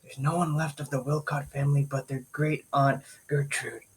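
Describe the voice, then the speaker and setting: A man playing a gaunt, sorrowful old butler in a gothic audio drama, speaking in a hushed, formal way. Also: on the high side, flat delivery, moderate pace, a little hiss or hum, close to an everyday voice, poor audio. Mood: mournful.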